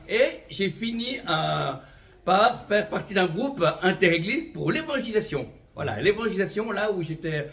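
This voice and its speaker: A man's voice: high voice, fairly bright tone. A man in his fifties, originally from Vietnam, an itinerant prophetic minister giving a religious testimony, speaking fervently and often adding amen and voilà. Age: 50s. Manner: fervent, peppers speech with amen and voilà, light chuckles.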